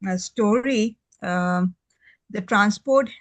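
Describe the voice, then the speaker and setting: A woman's voice: medium pitch, takes it slowly, no room sound. A woman in an informal discussion, referring to a book's introduction.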